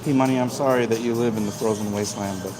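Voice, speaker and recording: A man's voice: on the low side, steady tone, rapid-fire. A man chatting casually to a livestream while he eats, talking between bites with savoring hums. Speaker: livestream host. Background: music.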